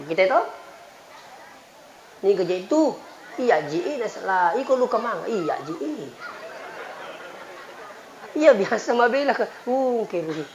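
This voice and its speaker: High-voiced Bugis preacher man, echoey sound. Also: big pitch swings, unhurried, pauses often. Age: 40s. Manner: folksy, bantering, repeats phrases to listeners. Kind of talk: humorous sermon mixing two languages. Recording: echoey, dull PA sound with crowd noise. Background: crowd murmur and light noise.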